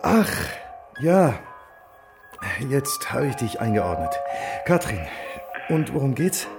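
Groggy male cop, gruff, creaky, drawn-out delivery, radio crime drama. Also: medium pitch, very animated, over music, heavily acted. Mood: groggy, curt. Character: groggy, gruff male policeman woken by a call.

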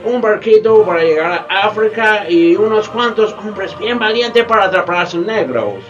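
A man playing a high-pitched, boastful comic villain captain. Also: normal speed, dull audio, close to an everyday voice. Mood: gleeful. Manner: theatrical, stammering, peppers speech with English words. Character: boastful, greedy, hypocritical English slave-trader sea captain, comic villain. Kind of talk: community radio theatre acting. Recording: music bed behind the voice.

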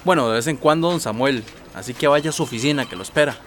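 A man acts an office colleague in a radio soap, blunt and teasing but supportive. His Costa Rican voice has an average pitch. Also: fast talker, background noise, close to an everyday voice. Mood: frank, teasing. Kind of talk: radio soap opera acting.

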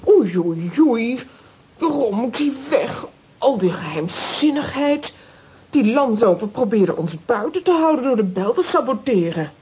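An excitable, fretful lady in a children's comic-strip radio adventure. Her high voice is very husky and swoops in animated exclamations. The recording is a little muffled. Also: a little slow, exaggerated voice. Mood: alarmed. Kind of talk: Dutch-language children's radio play acting.

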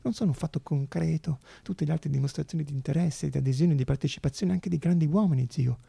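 An actor playing a small, idealistic man who pleads earnestly and haltingly in a hushed, theatrical radio monologue. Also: a little high, gravelly, husky, heavily acted. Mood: hushed pleading. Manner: hushed, halting, insistent, with whispered phrases.

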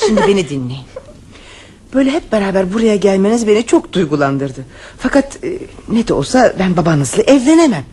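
An actress playing a kind young governess in a radio play, gently questioning and patient. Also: female voice, mid-range voice, very animated, a little fast, husky. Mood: amused patient.

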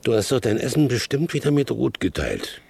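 An actor playing a weary, gruff but caring old farmhand father in a German radio drama, muttering and grunting. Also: medium pitch, sing-song, brisk.

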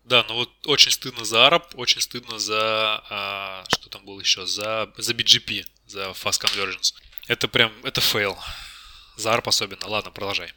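A man's voice: lowish voice, fairly even intonation, normal speed, pushed and bright, a little hiss or hum. A man being interviewed as a job candidate, talking casually about technical matters in a self-deprecating way.

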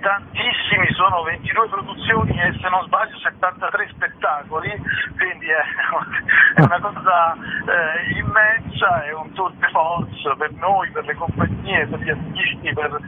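A man's voice: high-pitched, steady tone, unhurried. A man interviewed on radio over the phone as a festival director, often correcting himself mid-sentence. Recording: tinny phone line with background hiss.

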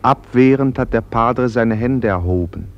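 An actor playing the calm narrator of a radio play, reading scripted scene descriptions. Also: male voice, mid-range voice, unhurried, dull audio, close to an everyday voice.

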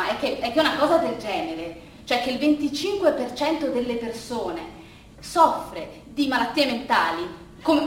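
A woman acting a monologue as a flustered, anxious art restorer who addresses an audience haltingly, stammering, repeating and correcting herself. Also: a little high, a bit bright. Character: flustered, anxious art restorer, mentally ill man's daughter. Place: performance space with an audience. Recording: very echoey distant live recording, faint noise.

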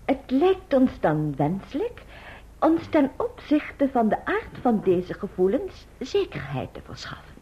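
An actress playing a prim, formal maiden aunt in a radio drama, stiff, precise and ceremonious. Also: female voice, high voice, huge pitch swings, measured pace, breathy edge, exaggerated voice. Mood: prim, businesslike. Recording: muffled, dull old radio recording.

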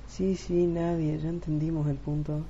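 A man playing a wry, teasing young male prefect with a deadpan delivery in an amateur radio play. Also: fairly high pitch, normal speed, natural voice. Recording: dull, faintly noisy home-made recording.

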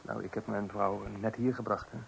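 A man's voice: lowish voice, steady tone, moderate pace, vocal fry, poor audio. An actor playing a nervous first-time expectant father in a Dutch radio play, hesitant and stammering. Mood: jittery. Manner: hesitant, stammering, repeats himself. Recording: old radio play with faint hiss.

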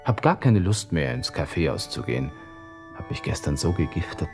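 Young viennese army lieutenant, low voice, self-pitying, muttering to himself, radio play with music. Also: male voice, big pitch swings, normal speed, darkish tone. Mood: brooding, despairing. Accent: Austrian, Viennese. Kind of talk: radio drama interior monologue.

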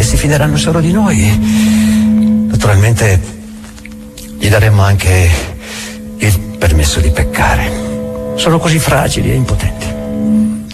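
Male actor as grim old inquisitor, mid-pitched man's voice, proud, solemn, deliberate, theatrical monologue, weighty pauses, clear music underneath. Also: natural voice. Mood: contemptuous fervour. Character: proud grim old inquisitor, bitterly addressing a silent returned Christ. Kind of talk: theatrical dramatic monologue recitation. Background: music behind the voice.

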